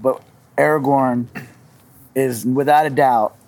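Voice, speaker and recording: A woman's voice: very deep voice, steady tone, slow, darkish tone, slight background noise. A woman chatting casually in a group discussion, informal and correcting herself as she goes.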